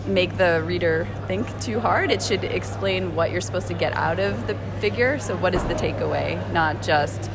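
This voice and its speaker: A woman, a computer science professor, giving clear and measured advice in an interview. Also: lowish voice, over loud music. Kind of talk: interview answers.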